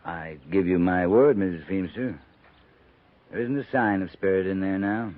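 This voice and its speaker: An American man playing a calm, wry army captain with a dry, courteous, needling manner in a 1950s radio drama. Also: low-pitched, moderate pace, natural voice. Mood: polite, sly. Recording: tinny, narrow-band old radio recording.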